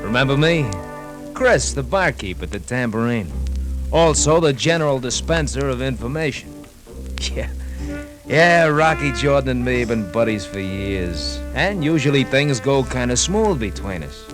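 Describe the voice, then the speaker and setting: A folksy American barkeep sidekick played by a man in old radio drama, with a mid-range voice that swings widely in pitch. He is chatty and excitable, often hesitant, a nervous and loyal pal. Music plays behind him. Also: normal speed, lightly acted. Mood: chatty, confiding. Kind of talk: 1950s radio drama acting and narration.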